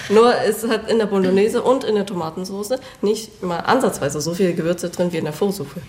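A woman's voice: mid-range voice, fairly quick, darkish tone, a little hiss or hum. A young woman born and raised in Germany, a restaurant owner and cook, giving short, plain answers in an interview and laughing often.

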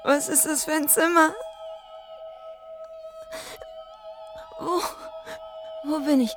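An actress playing a confused, frightened woman waking in a strange place in a radio drama, halting and repeating words. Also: high-pitched, steady tone, a bit bright. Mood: dazed, frightened. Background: music.

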